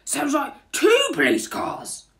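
Woman acting male storybook burglar, gruff, kindly, rough colloquial talk, very animated pitch. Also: takes it slowly, full character voice. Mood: startled. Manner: colloquial, ungrammatical working-class speech. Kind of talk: children's storybook character voice.

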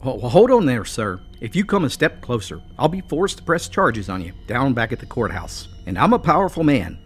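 A man's voice: mid-range voice, very animated, fairly quick, faint music behind, pitched above the performer's own voice. A man voicing a boastful, cocky male politician and judge who talks in a hearty mountain drawl. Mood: threatening.

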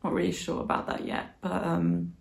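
Book vlogger: woman in her 20s, bilingual in German and English, lowish voice with plenty of fry, informal and reflective. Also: monotone, normal speed. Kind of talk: casual chat to camera, book review. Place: small living room, on a sofa indoors.

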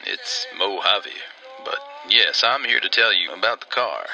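A man's voice: lowish voice, lively intonation, a little slow, gravelly, bright, forceful tone, faint music behind, full character voice, low-quality recording. An actor playing a plain-spoken, guarded small-town railman in a radio drama, dry and matter-of-fact. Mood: apologetic, matter-of-fact. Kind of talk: old-time radio drama acting.

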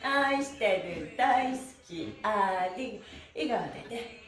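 A middle-aged woman with a high, very animated voice leads a stretching routine. She is cheerful and energetic, turning her counts into sing-song chants. She is heard from a little way off in an echoey room. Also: slow pace. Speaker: stretching and exercise instructor. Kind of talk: upbeat follow-along exercise instruction with counted chants. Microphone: camera a few steps away. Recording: roomy echo, distant microphone, music behind.

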